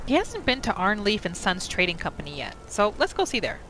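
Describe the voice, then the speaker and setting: A woman's voice: medium pitch, huge pitch swings, a little fast, sharp tone, a little hiss or hum, low-quality recording. A woman gaming host casually narrating her roleplay playthrough in the third person, as a voice-over.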